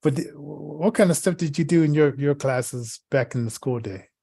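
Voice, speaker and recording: A man co-hosting in casual, rambling chat, halting as he searches for words and repeats himself. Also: fairly high pitch, warm tone, breathy edge, dry recording. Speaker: podcast co-host.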